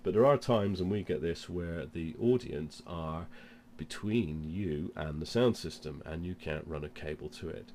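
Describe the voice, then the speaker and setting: A man with a very deep voice and a cold gives an informal how-to demonstration. He explains each step as he goes, and there is clear noise in the recording. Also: measured pace, few pauses, darkish tone. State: has a cold. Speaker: presenter of product demonstration. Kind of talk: informal instructional demo.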